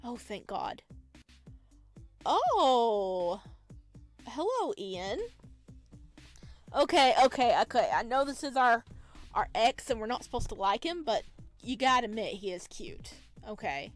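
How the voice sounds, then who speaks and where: A woman with a fairly high voice hosts a visual novel playthrough. She reads and comments in an expressive, chatty manner, with music playing behind her. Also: slow, pauses often. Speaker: gaming video host. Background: background music.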